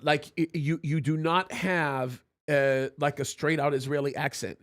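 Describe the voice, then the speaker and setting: A man hosting a live stream, chatting casually and reading out viewer messages, animated and quick to laugh, often restarting his sentences. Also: on the high side. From Egypt. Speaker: host of a live stream show.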